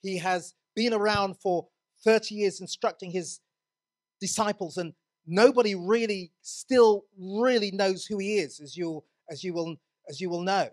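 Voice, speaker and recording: A man's voice: high voice, a little slow. A man from England preaching a sermon in a church, earnest, often restarting his words.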